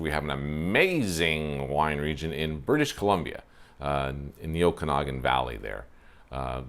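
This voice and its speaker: Chatty man with a deep voice. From Ontario, Canada. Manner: chatty, self-deprecating, frequent fillers. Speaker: wine show host. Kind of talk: casual talk to camera. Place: covered porch, outdoors. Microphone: lapel mic.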